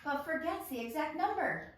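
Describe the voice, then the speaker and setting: A woman's voice: high voice, lively intonation, moderate pace. A woman reading stage directions aloud at an amateur table read. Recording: very echoey room, slightly distant mic.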